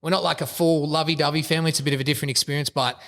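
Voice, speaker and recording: Fast-talking Australian man, reverb. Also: on the high side, fairly even intonation, creaky, a bit bright. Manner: laid-back, says "mate" often, rambling. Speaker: rugby league player guest. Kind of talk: casual interview chat.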